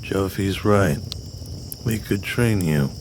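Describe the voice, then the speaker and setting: A man's voice: sits low, animated, slow, a little mellow, a little hiss or hum, heavily acted. A man playing a laconic, protective rifleman and trainer in an audio drama. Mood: supportive.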